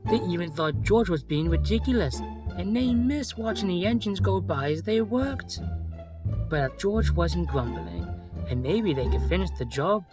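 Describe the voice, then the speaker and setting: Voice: medium pitch, expressive, a little slow. Storybook narrator over prominent music. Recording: music under the voice.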